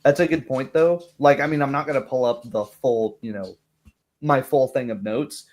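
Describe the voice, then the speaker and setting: A man's voice: mid-range voice, normal speed, darkish tone. A man talking casually as a game master after a session, thinking aloud in a rambling way. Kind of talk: casual post-game discussion.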